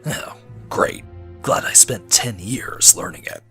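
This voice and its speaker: A man playing a sarcastic, gruff special forces soldier in a comedic parody, with a deadpan, breathy growl. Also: on the low side, slow, soft background music, heavily acted. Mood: sardonic. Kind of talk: comedic voice acting in a parody dub.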